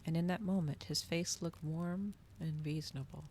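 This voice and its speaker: Low, creaky-voiced woman reading softly. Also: fairly even intonation, moderate pace, a little hiss or hum. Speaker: streamer reading a novel aloud. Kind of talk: ASMR storybook reading, soft narration.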